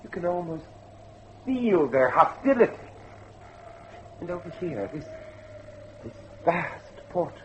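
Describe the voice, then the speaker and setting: A man's voice: fairly high pitch, sing-song, slow, long pauses, highs cut off, slight background noise. An actor playing a determined, troubled middle-aged father with formal, insistent speech in a gothic horror drama. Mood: awed unease. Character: determined, troubled father hunting for his missing son.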